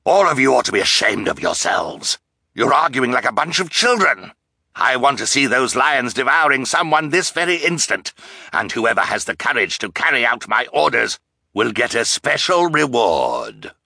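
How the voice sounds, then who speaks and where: A man's voice: mid-range voice, sing-song, moderate pace, gravelly, bright, forceful tone, slightly rough, dry recording, voice pushed up, full character voice. A man voicing a commanding villain count in an audiobook, menacing and impatient, with a theatrical roar. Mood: furious, commanding.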